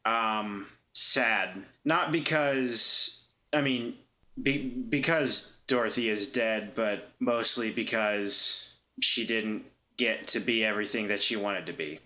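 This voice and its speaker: A man who plays on a podcast and makes music, chatting casually in a halting, self-deprecating way. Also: lowish voice, pushed and bright. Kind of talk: casual chat.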